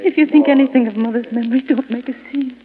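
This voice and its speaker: A woman's voice: high-pitched, fairly even intonation, fast, close to an everyday voice. An actress playing a distressed, pleading young woman in an old radio drama. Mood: anxious pleading. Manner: imploring. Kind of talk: 1940s radio drama acting. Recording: tinny old radio, crowd behind.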